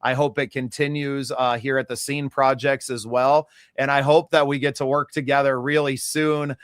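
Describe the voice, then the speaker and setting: A man's voice: medium pitch, little pitch movement, normal speed, clear, resonant tone, dry recording, studio quality. A man from the United States hosting a podcast, talking in an earnest and reflective way during a casual interview. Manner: earnest, reflective, with some restarts. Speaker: podcast host.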